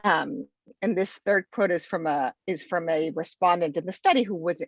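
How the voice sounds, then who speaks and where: A woman's voice: fairly low pitch, moderate pace, no echo. A woman from Argentina, a sociology professor, giving an informal lecture over a video call, talking casually with many ums and restarts. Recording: dull lo-fi video call audio.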